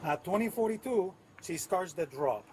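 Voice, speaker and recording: A man's voice: sits high, expressive, normal speed, a little mellow, slight background noise, poor audio. A man with a Puerto Rican accent, a police officer patiently explaining a case step by step at a front door. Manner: patient, detailed, methodical. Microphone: body camera.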